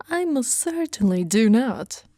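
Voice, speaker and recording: A woman's voice: a little high, big pitch swings, takes it slowly, mellow, dry recording, exaggerated voice. A woman playing an aloof, grumbling giantess, speaking breathily in a dry, dismissive, unimpressed way for an ASMR roleplay. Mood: aloof, resigned.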